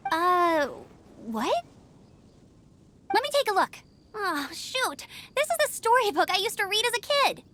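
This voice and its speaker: Bright, very high, eager young knight girl. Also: very animated, unhurried, heavily acted. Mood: embarrassed. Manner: peppy, earnest. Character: eager, upbeat young female knight, gliding instructor. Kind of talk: anime-style video game voice acting. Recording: music behind the voice.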